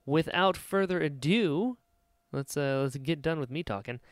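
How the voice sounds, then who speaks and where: A man's voice: a little high, unhurried, clear, resonant tone, no room sound, clean studio sound. An American man from Florida hosting a podcast in an enthusiastic, effusive, casual way. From Florida, United States. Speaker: podcast host.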